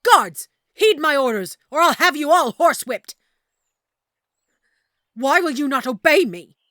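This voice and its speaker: Woman playing a shrill-edged, haughty, high-voiced villain queen. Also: big pitch swings, unhurried, bright, forceful tone, no echo, studio quality, full character voice. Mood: indignant, commanding. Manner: haughty, indignant, imperious. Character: haughty, treasonous older queen and mother. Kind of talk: fantasy audio roleplay acting.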